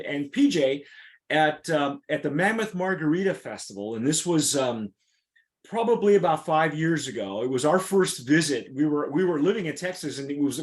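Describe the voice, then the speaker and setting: A man hosting a casual interview, rambling with many restarts and fillers. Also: fairly high pitch. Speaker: host. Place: Southern California.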